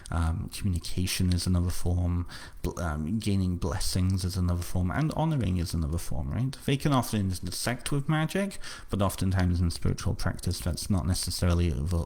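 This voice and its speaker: A man with a slight North Welsh accent, hosting a live book launch and answering viewers' comments in a halting, casual way with lots of fillers and repeated words. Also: sits low, dark tone. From North Wales.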